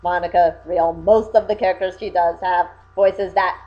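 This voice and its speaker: A woman's voice: fairly low pitch, steady tone, normal speed. A young woman co-hosting a casual chat, giving blunt, opinionated remarks. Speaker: video co-host. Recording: dull lo-fi home recording.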